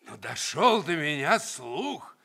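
A shrewd old country giant from a radio play, curious and folksy. His creaky, bright voice drawls along with very animated intonation. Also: a little high, slow pace, heavily acted. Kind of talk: Soviet radio play acting.

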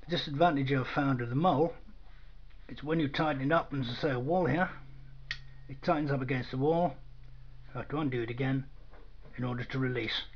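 A man's voice: medium pitch, fairly quick. A man gives an informal hands-on demonstration in a matter-of-fact, dry, understated way, recorded indoors at a workbench on a camera microphone. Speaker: product demonstrator. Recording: dull lo-fi sound with clear noise.

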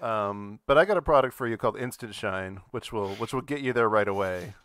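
A man's voice: on the low side, fairly quick, fairly bright tone, dry recording. A man co-hosting a podcast with casual, joking banter. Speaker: podcast co-host.

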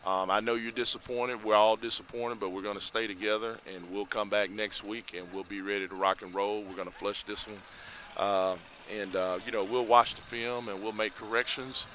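A middle-aged man, a head football coach giving a measured, reflective postgame interview outdoors at night on a headset mic. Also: on the low side, steady tone, bright, forceful tone, slight background noise. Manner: measured, reflective, many fillers. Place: outdoors by a school building at night.

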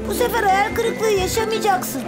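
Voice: extremely high pitch, steady tone, moderate pace. An actor voicing a young, adventurous cartoon bus who eagerly leads and reassures friends, with music behind. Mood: encouraging, confident. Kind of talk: children's cartoon dubbing.

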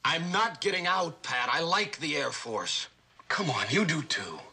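An actor playing a troubled, self-doubting young Air Force pilot, earnest and brooding, in dramatic radio style. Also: male voice, a little high, sing-song, measured pace, pushed and bright, breathy edge, exaggerated voice. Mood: agitated. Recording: old radio drama with music underneath.

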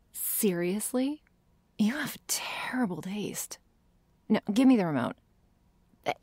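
A woman playing a prickly, teasing vampire girl who feigns indifference, speaking softly in an intimate ASMR roleplay. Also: lowish voice, vocal fry, slightly rough, dry recording, exaggerated voice. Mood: feigned indifference. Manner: sardonic, hesitant, feigning indifference.

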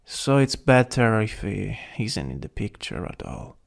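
A man with an Italian accent playing a jealous, flirtatious supervillain in an intimate ASMR roleplay, breathy and often whispering. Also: fairly low pitch, sing-song, heavily acted. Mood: jealous, menacing. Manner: slow, teasing, lingering delivery. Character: jealous, possessive, teasing flirtatious male supervillain.

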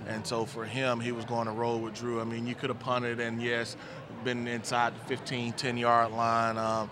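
A man in his forties, a former player, giving relaxed postgame football analysis into a handheld mic on a studio set. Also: medium pitch, normal speed, background noise. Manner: relaxed, thoughtful, many "you know" fillers. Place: studio set with team backdrop, indoors. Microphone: handheld microphone.

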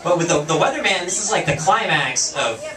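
A fairly high-voiced young man works a casual stand-up set, slipping into quick little character asides. He is picked up from across an echoey bar room. A lively crowd laughs around him. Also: far from the mic. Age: young adult. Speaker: stand-up comedian. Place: small bar stage with audience. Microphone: handheld mic, far camera.

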